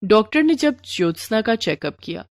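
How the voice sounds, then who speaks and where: A woman's voice: mid-range voice, very animated, normal speed, dry recording, clean studio sound. A woman narrating a scripted moral story as the storyteller.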